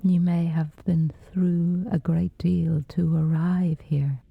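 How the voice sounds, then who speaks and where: A woman gently guiding a scripted sleep meditation in a soothing, breathy whisper, unhurried throughout. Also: fairly low pitch, level tone, narrow, tinny audio. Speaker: meditation guide.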